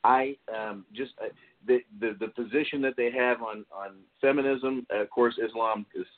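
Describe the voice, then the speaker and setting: A man hosting a podcast discussion, speaking haltingly and often repeating his words. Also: medium pitch, fairly even intonation. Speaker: podcast host. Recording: tinny phone-like call audio.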